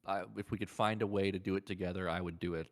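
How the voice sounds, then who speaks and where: Male podcast co-host, lowish voice, informal, emphatic, repeats himself to drive points home. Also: fairly even intonation, fast, a little hiss or hum. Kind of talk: casual chat about games and shows.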